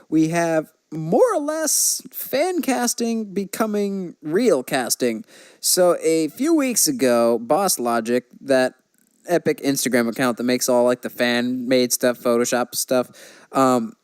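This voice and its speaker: A man's voice: a little high, a little mellow, clear, resonant tone, dry recording. A man talking casually about movie news as a podcast host.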